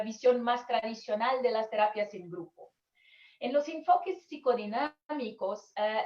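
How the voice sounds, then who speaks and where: A woman's voice: a little high, reverberant, highs cut off. A Brazilian woman whose first language is Portuguese, giving an online conference lecture in careful, hesitant second-language Spanish over a video call.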